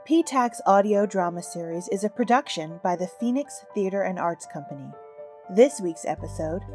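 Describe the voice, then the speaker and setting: Warm-voiced woman reading credits. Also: fairly low pitch. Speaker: audio drama company announcer. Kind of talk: scripted credits announcement.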